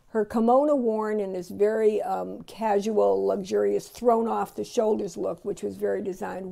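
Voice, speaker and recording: Gravelly, mellow woman narrating an auction. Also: medium pitch. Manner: admiring, unhurried, with frequent ums and restarts. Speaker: auction host presenting antique dolls. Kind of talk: unscripted voice-over commentary.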